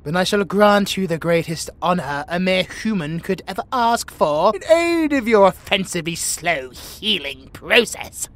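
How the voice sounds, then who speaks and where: A British man voicing a pompous, bossy but secretly affectionate tiger warrior in a comedic, creaky-voiced audio roleplay. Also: high voice, very animated, a little slow, hardly any pauses, a bit bright, heavily acted. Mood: haughty. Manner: pompous, grandiose, mock-imperious.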